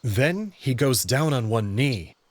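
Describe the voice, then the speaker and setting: Man as a bewildered narrator, lively pitch. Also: takes it slowly. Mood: narrating, bewildered. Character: bewildered young human man narrating his own story. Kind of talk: first-person visual novel narration and dialogue.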